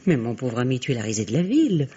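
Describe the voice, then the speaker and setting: Husky, bitterly sarcastic wife. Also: female voice, a bass voice, sing-song, quick pace, dark tone, muffled recording, heavily acted. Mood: mocking, sarcastic. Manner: sarcastic, theatrical. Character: bitter, mocking, trapped wife and mother. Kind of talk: French radio drama acting.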